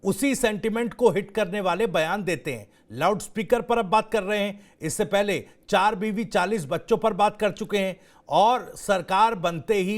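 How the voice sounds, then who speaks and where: A male news anchor with a notably high voice leads a televised debate. He presses his guest with sharp, direct questions.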